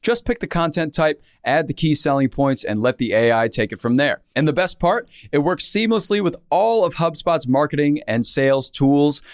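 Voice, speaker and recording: A man's voice: a little high, expressive, normal speed, hardly any pauses, no echo, clean studio sound. A man delivering a scripted advertisement as an announcer. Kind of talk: scripted ad read.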